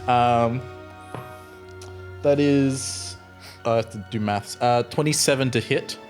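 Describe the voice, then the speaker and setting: A man's voice: mid-range voice, takes it slowly, a little mellow. A man chatting casually as a tabletop game player, tentatively thinking aloud. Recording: faint music behind. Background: faint background music.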